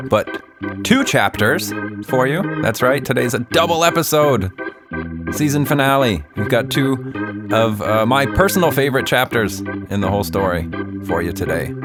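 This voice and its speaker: A man hosting and narrating a children's story podcast in a gently humorous way. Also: medium pitch.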